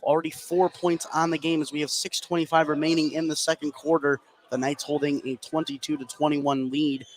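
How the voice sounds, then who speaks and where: A man's voice: a little high, flat delivery, brisk, hardly any pauses, rough recording. A man giving live play-by-play commentary on a basketball game for radio.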